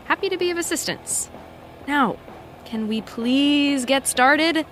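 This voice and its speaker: A woman playing a brisk, businesslike production assistant, polite but curt, in an audio comedy-drama. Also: high voice, expressive, fairly bright tone, soft background music, exaggerated voice.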